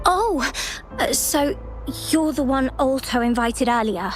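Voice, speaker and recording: An earnest, polite young researcher explains things carefully in a video game cutscene. Her voice sits fairly high. Game music plays clearly behind her. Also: animated, slow pace. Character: earnest young female field researcher, worried.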